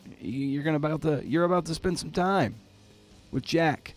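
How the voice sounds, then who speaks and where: A man's voice: a little high, moderate pace, faint music behind. A man hosting an interview podcast in a casual, slangy, laid-back way.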